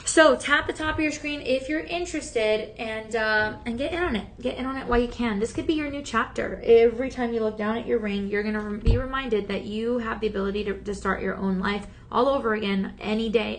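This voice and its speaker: A woman hosting a shopping channel, chatty and encouraging, with a slightly raspy voice that is still healing from talking so much. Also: fairly high pitch, steady tone, fairly quick, hardly any pauses, a little hiss or hum. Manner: chatty, upbeat and encouraging, many fillers. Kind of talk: live TV sales pitch. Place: TV studio.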